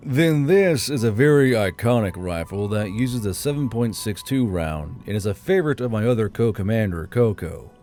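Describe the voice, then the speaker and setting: A man reading the part of a reserved, practical male soldier in a measured, understated manner for an audiobook. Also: on the low side, lively intonation, darkish tone, soft background music, close to an everyday voice. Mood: matter-of-fact.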